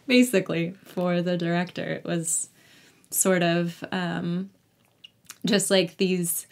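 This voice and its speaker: A woman's voice: on the low side, steady tone, slow pace, creaky, darkish tone. A young woman chatting casually as a guest in an interview. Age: young adult. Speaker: interview guest, actor and singer. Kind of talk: casual chat.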